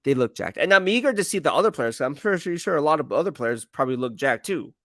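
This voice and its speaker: A man's voice: a little high, animated, fast talker, fairly bright tone, dry recording, studio quality. A man chats casually on a sports live stream, rambling with slangy emphasis and often repeating himself as he answers viewers. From San Francisco Bay Area, United States. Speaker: sports streamer and football commentator.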